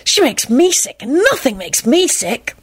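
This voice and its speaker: Woman playing a high-voiced, hissing teenage boy. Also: very animated, measured pace, husky, exaggerated voice. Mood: disgusted. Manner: hissing, whispery. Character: sulky, whispering thirteen-year-old boy, easily disgusted.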